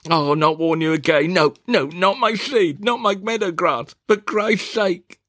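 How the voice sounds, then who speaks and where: A man voicing a fussy, fretful middle-aged mother in a one-man reading of a stage play, shrill and prone to outbursts. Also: expressive, takes it slowly, fairly bright tone, no room sound, studio quality. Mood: agitated.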